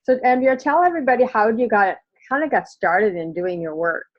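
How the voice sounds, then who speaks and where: A woman's voice: a little high, lively intonation, a little fast, highs cut off. A woman hosting a podcast, chatting casually and asking rambling questions with small laughs. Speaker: podcast host.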